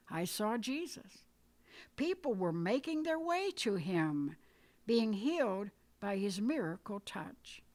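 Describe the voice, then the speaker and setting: A woman reading her own scripted poetic story aloud in a measured, deliberate storytelling manner. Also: a little high. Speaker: poet reading own work. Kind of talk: dramatic poetry reading, scripted narration.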